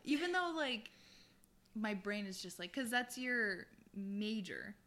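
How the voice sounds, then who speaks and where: A woman's voice: mid-range voice, lively intonation, takes it slowly, bright, forceful tone. A young woman co-hosting a podcast, chatting candidly and laughing at herself. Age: young adult. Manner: candid, self-deprecating, frequent laughter. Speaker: podcast co-host. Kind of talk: casual chat.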